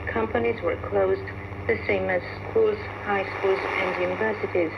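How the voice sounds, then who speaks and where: A woman presents a scripted news review as a radio host. Her mid-pitched voice has a clear creak to it. It arrives over shortwave with heavy noise and a dull, lo-fi sound. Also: steady tone, normal speed. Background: loud radio static and noise.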